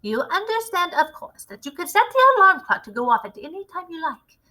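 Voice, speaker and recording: A woman voicing an imperious, gloating Grand High Witch with a theatrical, deliberate, commanding delivery in a storybook reading. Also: very animated, sharp tone, full character voice.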